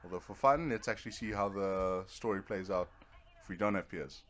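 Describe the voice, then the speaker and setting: A man's voice: low-pitched, brisk, fairly bright tone. A man giving casual, rambling commentary over a video game, with a dry, self-deprecating sense of humor. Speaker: video game let's player.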